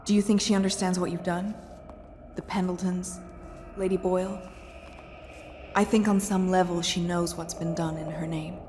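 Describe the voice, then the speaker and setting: A woman's voice: lowish voice, level tone, normal speed, long pauses, darkish tone, a little hiss or hum, natural voice. An actress playing a reserved, proper governess in a video game, hesitant and thoughtful in her speech. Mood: pensive. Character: reserved, proper female governess, quietly anxious and tactful. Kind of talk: video game cutscene acting. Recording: music playing behind the voice.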